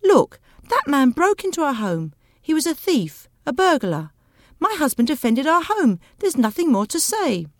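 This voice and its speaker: A woman voicing a bossy, impatient wife in a story, with a scolding, emphatic, sharp-tongued manner. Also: sits high, big pitch swings, normal speed, no room sound, studio quality, pitched above the performer's own voice. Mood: indignant. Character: bossy, impatient, scornful wife of a timid giant.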